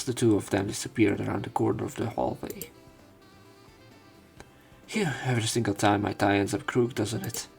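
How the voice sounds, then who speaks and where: A man's voice: lowish voice, gravelly. A man reading visual novel narration aloud in a subdued way, as a let's player.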